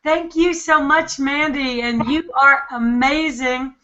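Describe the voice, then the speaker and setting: Enthusiastic woman host, high voice, bright sharp tone, lo-fi video call sound. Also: takes it slowly. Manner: enthusiastic, salesy. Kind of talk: sales webinar presentation. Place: home office.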